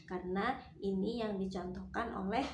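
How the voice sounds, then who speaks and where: A woman Quran teacher from Indonesia giving a lesson for children, patiently repeating sounds slowly to demonstrate them. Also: medium pitch, steady tone, darkish tone, slight background noise. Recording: echoey room with faint ambience.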